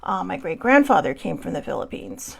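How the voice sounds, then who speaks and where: American woman with a very creaky voice. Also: fairly low pitch, wildly swooping pitch. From United States. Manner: earnest, halting and reflective, with emphatic repetition. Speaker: livestream host. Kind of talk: personal, heartfelt spoken commentary.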